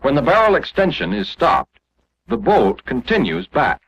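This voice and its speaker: A man's voice: medium pitch, wildly swooping pitch, normal speed, creaky, a bit bright, breathy edge. A man narrating a 1940s instructional film, explaining step by step in a scripted, didactic way. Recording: muffled, dull 1945 film soundtrack.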